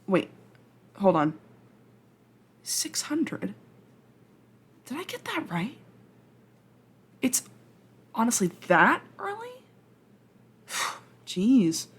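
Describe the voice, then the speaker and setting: A woman playing a flustered, awkward, chatty time traveler from the future in an ASMR roleplay, halting and rambling as she speaks to the listener. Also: on the high side, huge pitch swings, breathy edge, exaggerated voice. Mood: embarrassed.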